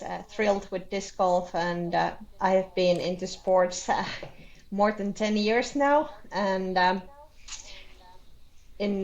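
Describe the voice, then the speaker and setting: A woman with a Finnish accent answers as an interview guest. Her pitch is average, and she builds her answers on the spot in hesitant, halting non-native phrasing. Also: darkish tone, highs cut off. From Finland. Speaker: interview guest, board candidate.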